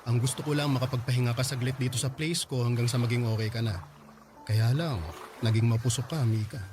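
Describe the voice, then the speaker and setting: A man acting as a calm young man in a radio drama, patiently explaining and reassuring. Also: medium pitch, fairly quick, background noise, lightly acted.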